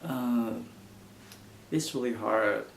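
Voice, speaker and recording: A man's voice: medium pitch, vocal fry, slight background noise. Man, Vietnamese accent, hesitant English, lots of ums, echoey room. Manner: halting non-native English with frequent fillers. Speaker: interviewee. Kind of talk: casual interview answers.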